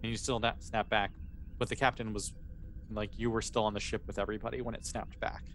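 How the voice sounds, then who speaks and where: A man narrating a tabletop sci-fi game as game master, haltingly, often restating his phrases. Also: fairly low pitch, little pitch movement, fairly bright tone, slight background noise.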